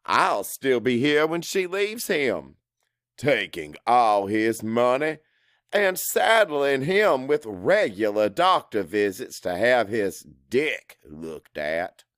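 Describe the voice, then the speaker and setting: A crude, cocky salesman , voiced by a male narrator, tells his story with brash scorn. His pitch swings dramatically while the pace stays on the slow side, in a dry, close audiobook recording. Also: sing-song, a bit bright, no echo. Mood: scornful, indignant. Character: crude, cocky salesman, macho, resentful, foul-mouthed.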